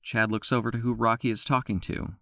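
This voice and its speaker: A man narrating an audio drama with a steady, scripted storytelling delivery. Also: medium pitch, brisk, vocal fry, dry recording, studio quality.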